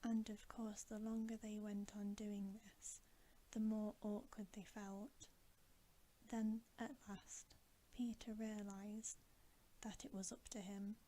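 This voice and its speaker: A woman reading a storybook in a soft, breathy ASMR whisper, with a gentle, soothing delivery. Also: medium pitch, flat delivery, slow, low-quality recording. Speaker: ASMR bedtime story reader.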